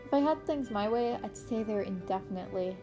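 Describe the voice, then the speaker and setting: A woman's voice: mid-range voice, expressive, brisk, lightly acted. A woman voicing a fifteen-year-old boy vigilante in an amateur audio story, blunt and offhand, with music underneath. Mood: nonchalant.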